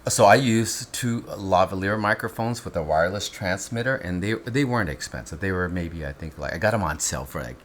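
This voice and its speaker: A man in casual instructional chat, explaining his film gear step by step with lively, teasing asides. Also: sits low, brisk, few pauses.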